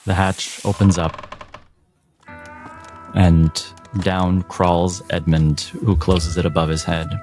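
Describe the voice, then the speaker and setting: A man narrating a tabletop game as game master, measured and descriptive. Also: low voice, flat delivery, darkish tone, faint music behind.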